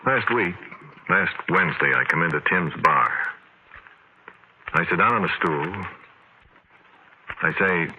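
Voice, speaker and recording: An actor playing an uneasy, ordinary barfly in a 1940s radio drama, talking in a plain colloquial way. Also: male voice, low-pitched, expressive, takes it slowly, long pauses, slightly rough, lo-fi sound, full character voice. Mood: shaken, confiding. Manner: colloquial, uneasy first-person telling. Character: shaken ordinary barfly telling his strange story. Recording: noisy old 1940s radio recording.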